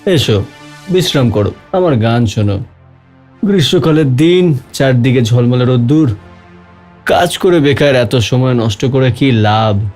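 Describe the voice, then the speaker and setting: Man voicing a slow-drawling, theatrical cartoon grasshopper. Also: medium pitch, darkish tone, soft background music, close to an everyday voice. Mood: carefree, teasing. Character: lazy, carefree, mocking male grasshopper. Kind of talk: children's cartoon story character voice.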